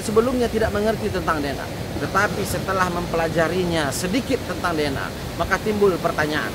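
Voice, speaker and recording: Earnest male preacher over loud background noise. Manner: earnest preaching that swells louder and higher on key words, then drops low. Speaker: Islamic preacher. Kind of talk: sermon to a congregation.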